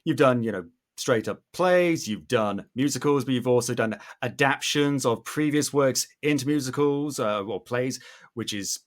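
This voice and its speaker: A man speaks as a podcast interviewer at a middling pitch. His delivery is conversational and discursive, circling around a thought as he goes. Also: moderate pace.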